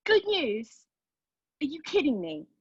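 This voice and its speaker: A woman playing a bitter, sardonic pregnant woman in a dramatic monologue, with an intense, deliberate delivery and a husky voice. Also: high-pitched, wildly swooping pitch, dry recording, heavily acted. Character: anguished, bitter, sardonic pregnant woman telling her story. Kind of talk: dramatic monologue at a table read. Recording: slightly dull, lo-fi sound.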